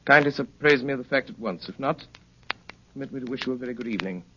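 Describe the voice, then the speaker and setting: A man's voice: mid-range voice, fast talker, lightly acted. An actor playing a shrewd, courteous but needling detective in 1930s radio drama style, urbane, dry and precise in his speech. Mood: cool, needling. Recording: muffled, noisy 1938 radio broadcast.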